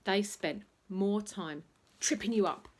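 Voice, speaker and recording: A woman reading a children's bedtime story aloud in an expressive, playful way, acting out noises as she goes. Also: medium pitch, slow pace. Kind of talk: animated bedtime story reading with sound effects and asides.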